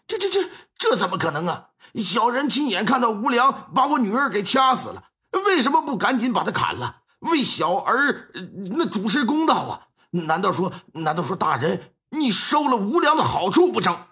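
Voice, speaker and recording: A man's voice: high voice, sing-song, moderate pace, few pauses, sharp tone, pitched above the performer's own voice, full character voice. A man voicing an agitated, pleading middle-aged father in a told story.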